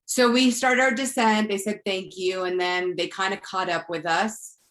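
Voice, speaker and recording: A woman from Florida shares a personal story as the moderator of an online group. She speaks casually at a middle pitch, retelling conversations line by line and acting out each side. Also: normal speed. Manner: animated retelling with acted-out dialogue. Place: online live video call.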